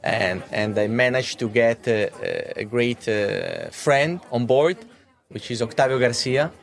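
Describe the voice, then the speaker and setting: A thirty-year-old man speaks as an interview guest, with a voice of medium pitch. His delivery is halting, and he often repeats a word before moving on to the rest of the phrase. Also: very animated, gravelly. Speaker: watch brand executive being interviewed. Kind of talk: interview answers.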